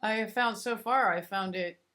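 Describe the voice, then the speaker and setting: A woman hosting an interview, gentle and musing, with fillers and restarts as she thinks aloud. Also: mid-range voice, normal speed. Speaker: interview host. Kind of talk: interview conversation.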